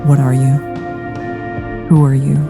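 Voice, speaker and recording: A woman's voice: extremely low pitch, level tone, a little slow, over loud music. A woman voicing a defiant, protective and anguished young heroine in a tabletop fantasy game. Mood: wary.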